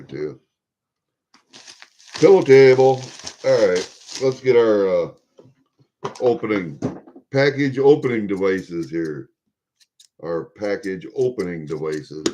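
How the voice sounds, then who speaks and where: A man in his sixties from Iowa with a Midwestern American accent, chatting to viewers in a rambling, halting, blunt and salty way. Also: lowish voice, dark tone, slight background noise. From Iowa, USA. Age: 60s. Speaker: vlogger. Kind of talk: rambling casual chat to viewers. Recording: dull lo-fi home recording.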